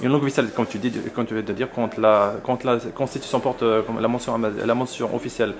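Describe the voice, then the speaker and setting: A man's voice: medium pitch, steady tone, fast talker. A man interviewing a conference attendee, probing with follow-up questions and pushing back. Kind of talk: spontaneous debate interview. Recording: crowd noise behind.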